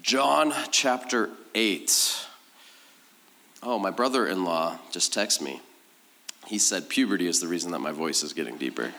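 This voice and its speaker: Man, lowish pitch, bright projected tone, pastor, conversational sermon, informal, teasing, self-deprecating. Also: expressive, unhurried, creaky, a little hiss or hum. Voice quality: slightly deeper voice than usual. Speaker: church pastor preaching to a congregation.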